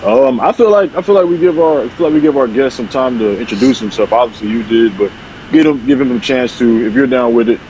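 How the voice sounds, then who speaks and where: A man's voice: mid-range voice, fast, mellow, a little hiss or hum, low-quality recording. Eager, rambling man co-hosting. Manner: eager, rambling, restarts a lot. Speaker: podcast co-host. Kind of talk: casual podcast chat.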